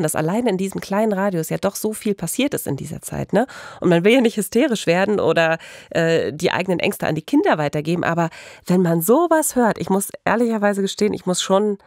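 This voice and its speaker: A woman's voice: lowish voice, a little mellow, no echo. Woman, middle-aged, conversational podcast host, engaged and emphatic, dry close recording. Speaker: true-crime podcast host.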